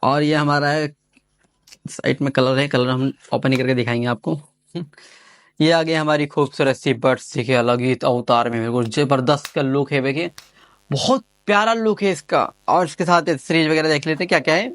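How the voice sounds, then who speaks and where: A man's voice: fairly high pitch, normal speed, dry recording. A man giving casual, informal unboxing commentary as an enthusiastic gadget reviewer, often repeating his phrases.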